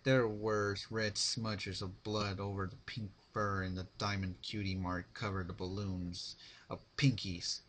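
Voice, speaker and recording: Slow, forceful man narrating a story. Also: low voice, flat delivery, slight background noise. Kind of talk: dramatic fan fiction reading.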